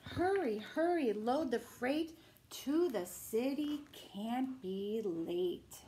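Middle-aged woman, high voice, slow pace, singsong storytime read-aloud. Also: expressive, dark tone, slight background noise. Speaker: library storytime host reading a picture book. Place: small room at home, indoors. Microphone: camera a few feet away.

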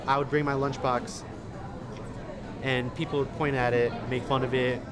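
A young adult man with an American accent, telling a personal story in a casual, halting, reflective way. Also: mid-range voice, flat delivery. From Indonesia and Illinois, United States. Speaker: documentary interviewee. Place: busy food hall, indoors. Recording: loud busy restaurant crowd noise. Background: loud crowd chatter.

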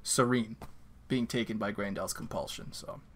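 A Canadian man co-hosting a podcast, chatting casually, ranting with animated, self-mocking energy. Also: lowish voice, normal speed. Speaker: podcast co-host. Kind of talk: casual chat. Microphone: video call.

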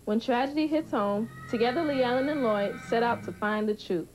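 A woman's voice: on the high side, brisk, music in the background, poor audio. A young woman reading scripted narration as a youth TV reporter.

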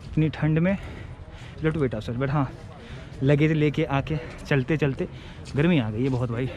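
A man's voice: mid-range voice, a little slow, muffled recording. A young man vlogging his train trip to camera, chatty and mixing Hindi with English phrases, filmed on a handheld camera at arm's length on a railway platform and in a coach. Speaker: travel vlogger. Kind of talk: casual vlog narration to camera. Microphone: handheld action camera at arm's length. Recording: noisy station ambience.

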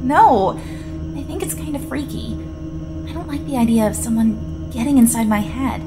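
An actress playing a skeptical, sarcastic spaceship crew member in an audio drama, wry and grumbling. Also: female voice, high-pitched, normal speed, over music, lightly acted.

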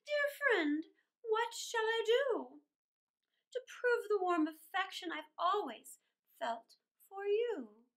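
Woman acting a cunning male spider, storybook villain, high swooping pitch, slow coaxing flattery. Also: full character voice. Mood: coaxing, sly. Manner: sly, coaxing, drawn-out words.

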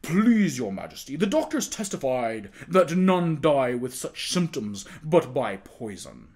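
A formal officer of the law from a historical storybook, played by a male reader. He reports deferentially, at a middling pitch, in careful archaic phrases. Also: big pitch swings, a little slow, a little mellow. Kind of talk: dramatized audiobook reading.

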